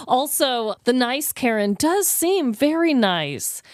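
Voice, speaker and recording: Lively, clear-voiced woman podcaster, higher pitched. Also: takes it slowly, no echo, clean studio sound. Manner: conversational, informal, jokey. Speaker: podcast co-host. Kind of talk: casual comedic storytelling chat.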